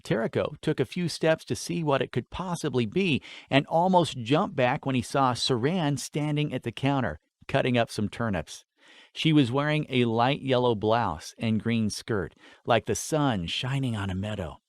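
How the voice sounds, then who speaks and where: A man narrating a fantasy story in an expressive, scripted audiobook style. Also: medium pitch, moderate pace, no room sound.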